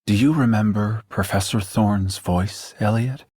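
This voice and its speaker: Very deep, brooding game character. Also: male voice, a little slow, warm tone, husky, no echo, studio quality. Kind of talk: video game character voice line.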